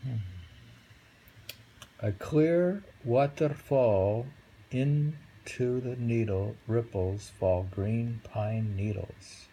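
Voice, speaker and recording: A man reading haiku aloud casually, musing and halting, murmuring to himself between poems. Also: mid-range voice, mellow, a little hiss or hum, poor audio. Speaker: reader of a haiku book. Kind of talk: casual poetry read-aloud with musing commentary.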